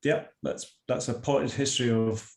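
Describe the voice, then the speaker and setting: A man in his sixties from Scotland with a Scottish accent, a guest artist chatting over a video call in an unhurried, self-deprecating way with many restarts. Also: medium pitch, fairly even intonation, creaky, a little mellow, echoey room. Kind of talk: casual interview chat.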